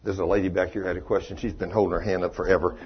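A man's voice: low-pitched, level tone, rapid-fire, slight background noise. A man preaching a sermon, folksy and plain-spoken, rising to emphatic preaching and taking questions from the audience. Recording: dull, muffled live recording.

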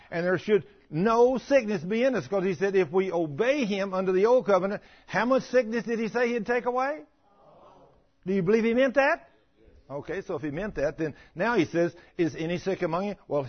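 Folksy preacher, higher-pitched man. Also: muffled recording. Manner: folksy, plain-spoken, emphatic. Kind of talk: sermon with scripture reading and rhetorical questions.

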